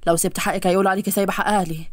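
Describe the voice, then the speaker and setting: A woman's voice: medium pitch, steady tone, rapid-fire, dry recording, voice pushed down, lightly acted. A woman voicing a teasing, apologetic young male suitor in story dialogue, with an Egyptian accent.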